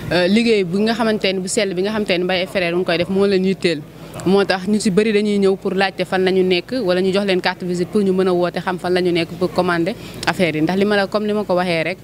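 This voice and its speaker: A young woman with a Senegalese accent, answering interview questions as a company representative into a handheld mic, steady and salesy. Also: lowish voice, slight background noise. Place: exhibition stand indoors.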